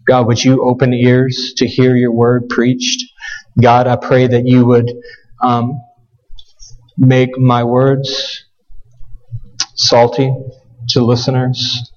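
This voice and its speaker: Slow-talking scratchy-voiced preacher man. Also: medium pitch, darkish tone, muffled recording. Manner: casual, humble, with fillers and restarts. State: scratchy voice with a cough. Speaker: guest preacher. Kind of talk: sermon. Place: church sanctuary.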